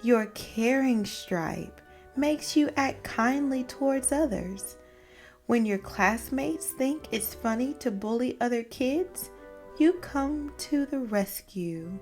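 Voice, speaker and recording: A woman voicing a gentle, reassuring mother zebra in a storybook, with a soft, soothing delivery. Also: fairly high pitch, expressive, takes it slowly. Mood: tender, reassuring. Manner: tender, soothing. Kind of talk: storybook character voice. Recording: music behind the voice.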